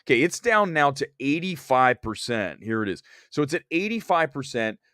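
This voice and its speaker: A man's voice: mid-range voice, very animated, moderate pace, a bit bright, no room sound, studio quality. A man with a slight cold hosting a movie talk show, giving emphatic, conversational opinions and reading out viewer comments.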